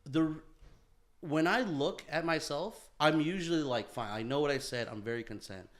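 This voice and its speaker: A man's voice: on the high side, moderate pace. A young man co-hosting a podcast in casual chat, rambling and swearing, often laughing and repeating himself. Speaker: podcast co-host.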